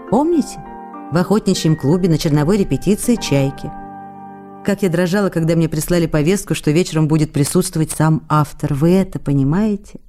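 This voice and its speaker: A woman playing an emotional young actress who reads her letters aloud in a radio drama, lively and expressive. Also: fairly low pitch, lightly acted. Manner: animated and excitable, swinging to despair. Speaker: reader of letters in a radio play.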